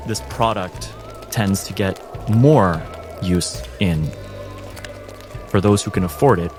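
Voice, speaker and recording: Man, deepish voice, game master narration, measured phrasing, cinematic, music behind.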